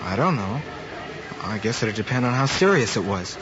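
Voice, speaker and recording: Guarded young student. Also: male voice, on the low side, sing-song, normal speed. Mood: defensive. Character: guarded, troubled student son. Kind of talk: mid-century American screen drama acting. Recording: muffled, noisy old film soundtrack.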